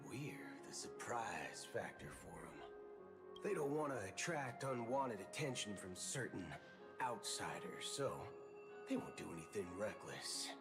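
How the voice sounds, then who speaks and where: Swaggering space outlaw, low-pitched, swooping drawl, theatrical game delivery over loud music. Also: male voice. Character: swaggering, wary male space cowboy outlaw with quirky curses. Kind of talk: video game voice acting.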